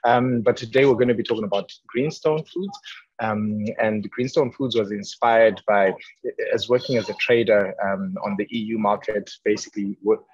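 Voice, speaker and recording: A man presenting his company on a video call from a train, earnest and a little halting. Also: fairly low pitch, fairly even intonation, breathy edge, dry recording. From Zimbabwe. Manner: earnest, slightly halting with fillers. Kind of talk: webinar presentation. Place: on a moving train. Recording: dull lo-fi video call audio.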